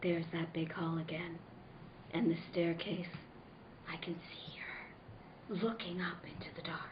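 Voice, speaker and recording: A woman's voice: on the low side, little pitch movement, unhurried, natural voice. An actress playing a frightened, sleepless young wife who narrates her nightmares melodramatically in an amateur old-time radio drama. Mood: hushed dread. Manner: melodramatic, swinging from hushed to crying out. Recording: dull, echoey room with faint noise.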